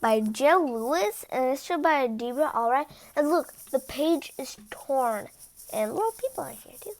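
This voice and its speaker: High, animated woman reading a picture book. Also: normal speed. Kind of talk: animated children's storybook read-aloud with chatty asides.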